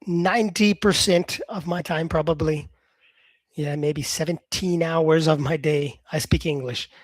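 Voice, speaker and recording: A man's voice: on the high side, fairly even intonation, measured pace, no echo. Chatty man teaching English live. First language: Portuguese. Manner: chatty, reads viewer comments aloud and answers them. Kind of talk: live stream chat.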